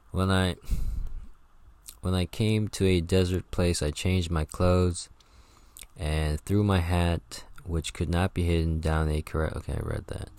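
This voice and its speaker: A man with a very deep, low voice reads an old travel book aloud. He stumbles and rereads words, then breaks off for offhand, profane comments. The sound is dry and close, with no room echo. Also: darkish tone. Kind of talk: reading aloud with casual commentary.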